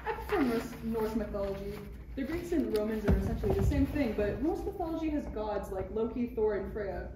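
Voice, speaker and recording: A woman's voice: on the high side, expressive, a little fast, close to an everyday voice. A woman playing a chatty, nonchalant young senator talking as an exasperated daughter in a parody read-through. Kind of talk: live table read of a parody script. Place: room at a table read. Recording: roomy distant recording with clear noise.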